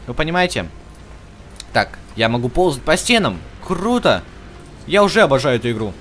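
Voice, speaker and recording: A man's voice: mid-range voice, big pitch swings, moderate pace, a bit bright, slight background noise. A man giving casual, chatty gameplay commentary, thinking aloud and talking to the characters on screen as he plays. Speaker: video game let's-play host. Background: video game music.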